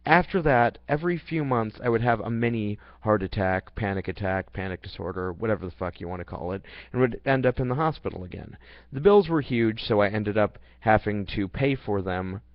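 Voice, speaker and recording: A man's voice: lowish voice, lively intonation, brisk, no echo. A young man reading his personal life story aloud in an earnest, plain way, now and then stumbling and restarting. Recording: dull, dry lo-fi recording.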